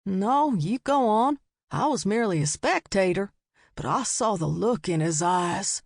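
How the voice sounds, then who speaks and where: A woman's voice: medium pitch, lively intonation, a little slow, darkish tone, no room sound, clean studio sound. A woman voicing a spooked man in an audiobook, telling things in a dramatic, emphatic way. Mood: rueful.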